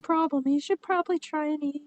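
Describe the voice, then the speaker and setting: A woman's voice: sits high, fairly even intonation, fairly quick, a crowd in the background. A woman chatting casually and reading a story aloud for comedy, with a teasing, giggly manner. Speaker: podcast co-host reading a fan fiction aloud. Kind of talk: casual chat and comic read-aloud.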